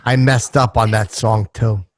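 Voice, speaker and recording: A man's voice: on the low side, fairly even intonation, a little slow, a little hiss or hum, natural voice. A man voicing a crude, boastful comic oddball podcast host, talking in a mock-earnest, rambling way. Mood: rueful boasting. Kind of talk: comedy banter with sing-song bits.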